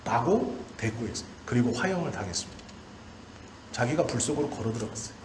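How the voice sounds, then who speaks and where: A man in his forties delivers a sermon as a pastor, at a middling pitch. He builds his points in short, emphatic, repeated phrases. The room echoes noticeably around his voice, with faint background noise. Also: lively intonation, fast. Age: 40s. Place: church hall during a service. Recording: roomy church echo, faint background noise.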